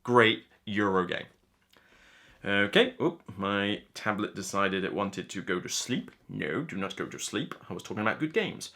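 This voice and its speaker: A man's voice: fairly low pitch, animated, moderate pace, bright, forceful tone. A man in his thirties giving an enthusiastic, informal and emphatic board game countdown review to camera, speaking indoors. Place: indoor room lined with game shelves.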